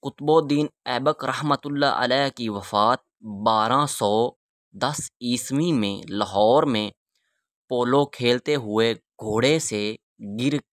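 A man narrating a historical walking tour in a measured, reverent, halting way, phrase by phrase. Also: mid-range voice, dry recording, studio quality. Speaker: vlogger and guide.

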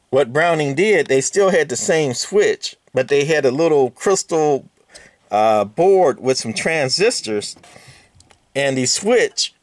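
A man of middle pitch talks his way through a radio chassis in a relaxed, explanatory manner, with plenty of ums and you-knows. The sound is dry and close, recorded on a camera held over a workbench. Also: dry recording. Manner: relaxed, explanatory, many fillers, talks to the parts. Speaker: radio repair hobbyist host. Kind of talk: casual hands-on equipment walkthrough. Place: workbench, indoors.

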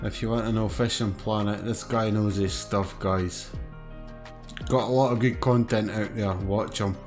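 A man's voice: lowish voice, fairly even intonation, measured pace, over music. A man from Scotland with a Scottish accent, chatting easily while gaming over voice chat. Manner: easygoing, calls people mate. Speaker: gamer on voice chat.

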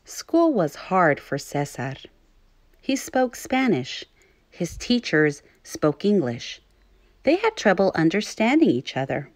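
Woman, teacher, children's read-aloud narration, animated pitch swings, dry close sound, clear instructive phrasing. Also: takes it slowly, dry recording. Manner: clear teacherly phrasing with questions to young listeners.

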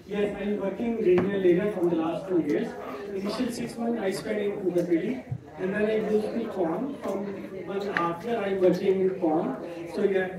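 A polite man with a high voice speaks formally to an event audience. He sounds distant in a very echoey hall, and a crowd murmurs behind him. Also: highs cut off. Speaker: regional pharmacist. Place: large hall at a multicultural event.

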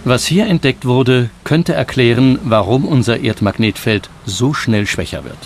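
A man reading scripted documentary narration in a measured, explanatory manner. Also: medium pitch, a little hiss or hum. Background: background music.

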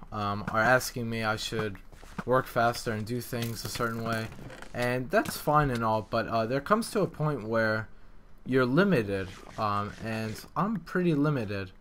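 A man giving casual, unscripted commentary as a game developer, explaining things in a hesitant, rambling way. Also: medium pitch. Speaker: video game map developer and video maker. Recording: clear background noise with clicks.

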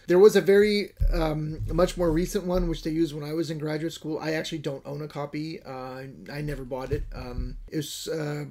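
A middle-aged man with Urdu as his first language talks a bit high for a man. He speaks informally to camera from a book-lined study at home, wandering through thoughts with lots of fillers and restarts. A desk mic sits in front of him. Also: darkish tone. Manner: rambling, with many fillers and restarts. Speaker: Islamic studies scholar giving a reading list. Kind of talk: casual recorded lesson to camera.

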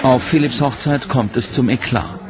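A man narrating a history documentary from a script, in a measured, dramatic way. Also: medium pitch, over music.

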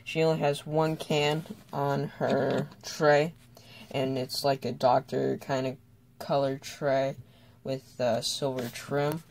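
A young student giving a casual, unscripted toy car review in a hesitant, halting way with trailing thoughts. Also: mid-range voice, level tone, vocal fry, a little mellow.

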